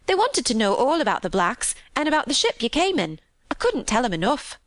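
A woman's voice: high-pitched, huge pitch swings, brisk, no room sound, voice pushed up. Woman playing an eager, chatty young Yorkshire housemaid. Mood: excited. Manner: eager, rambling, folksy dialect storytelling. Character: cheerful, chatty young Yorkshire housemaid, warm-hearted and excitable.

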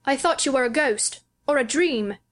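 A high young voice for a storybook invalid boy, acted out by a woman. He is petulant and solemn, weighing his words earnestly and wrapped up in himself. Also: expressive, normal speed. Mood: thoughtful. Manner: thoughtful, earnest, self-absorbed. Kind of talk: audiobook character dialogue.

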